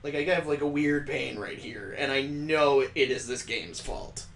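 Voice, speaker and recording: A man's voice: mid-range voice, fairly even intonation, a little slow, a bit bright, echoey room. A man hosting a gaming let's play, reading a visual novel aloud and breaking in with sarcastic, joking asides. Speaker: gaming video host.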